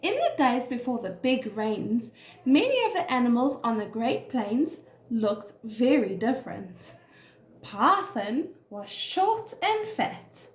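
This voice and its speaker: A woman reads to young children as a nursery teacher. Her voice is high and very expressive, taking its time over each phrase, in an echoey room. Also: takes it slowly. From South Africa. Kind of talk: reading a children's story aloud.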